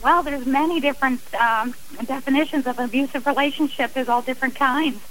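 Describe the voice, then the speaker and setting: Plainspoken woman guest, somewhat high. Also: fairly even intonation, fairly quick, a little hiss or hum, low-quality recording. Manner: candid, plainspoken, restarts phrases. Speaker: guest author. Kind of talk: phone-in interview.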